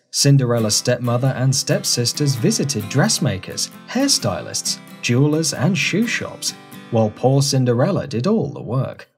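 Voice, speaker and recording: Animated storybook narrator. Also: mid-range voice. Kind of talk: scripted children's storybook narration.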